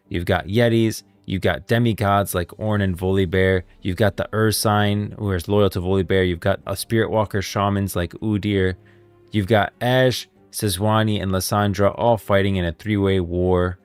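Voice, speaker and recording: A man with a deep voice talks casually and emphatically, like a streamer sharing strong opinions on game lore. The sound is dry and close to the microphone, with faint music underneath. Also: no room sound. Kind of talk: casual livestream commentary. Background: background music.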